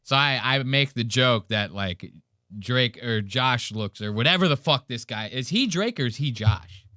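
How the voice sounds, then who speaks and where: Male livestream reactor, average pitch, dry sound. Casual, sweary, mocking commentary full of slang. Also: lively intonation, normal speed, sharp tone, no echo. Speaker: live stream host.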